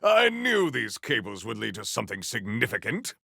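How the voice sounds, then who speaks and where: An actor playing a proud, formal, honor-bound warrior in a video game, with archaic, theatrical diction. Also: male voice, lowish voice, huge pitch swings, moderate pace, creaky, pushed and bright, dry recording, clean studio sound, heavily acted. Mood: composed, stately.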